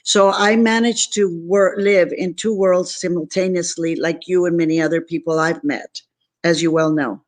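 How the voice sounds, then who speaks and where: A woman's voice: fairly low pitch, fairly even intonation, moderate pace. Earnest woman guest from San Antonio. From San Antonio, Texas. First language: Spanish. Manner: emphatic, repetitive, earnest. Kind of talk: motivational interview talk.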